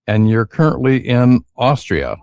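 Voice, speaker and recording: A man's voice: mid-range voice, big pitch swings, unhurried, vocal fry, darkish tone, dry recording, studio quality. A man hosting a podcast in a casual, upbeat and chatty way, often using fillers. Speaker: podcast host. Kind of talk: casual interview chat.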